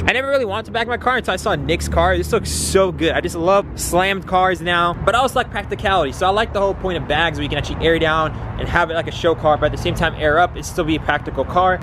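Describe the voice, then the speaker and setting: Young male car vlogger, quick and excitable, handheld camera outdoors, traffic noise behind. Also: on the high side. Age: 20s. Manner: fast, excitable, laid-back slang. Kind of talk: casual vlog talk to camera. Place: in a car, then outdoors at a shop lot. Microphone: handheld camera at arm's length.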